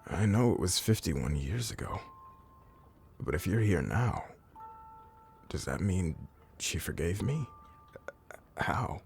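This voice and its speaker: An actor playing a haunted old pirate full of remorse, halting and hushed, in a cinematic audio drama. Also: male voice, animated, faint music behind, heavily acted. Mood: haunted, remorseful. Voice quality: low, gravelly. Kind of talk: cinematic audio roleplay acting.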